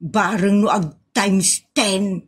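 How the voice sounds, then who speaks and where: A woman's voice: medium pitch, steady tone, very slow, breathy edge. A woman with an Ilocano accent acting a sassy, easily scandalised village woman in a comedy audio drama. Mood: questioning.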